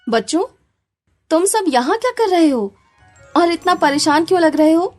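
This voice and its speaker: A woman's voice: very high voice, animated, normal speed, darkish tone, faint music behind. A woman voicing a kind but firm schoolteacher who explains things to children in a cartoon story. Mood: concerned.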